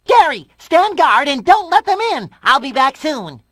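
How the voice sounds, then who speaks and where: A man voicing an excitable, naive, childlike sea sponge in a cartoon video game, eager and exaggerated. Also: very high voice, a little slow, dry recording, clean studio sound, heavily acted.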